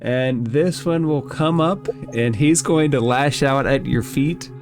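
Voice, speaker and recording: A man's voice: medium pitch, slow. Man running a tabletop game over music. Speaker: game master. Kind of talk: narrating and refereeing a tabletop role-playing game.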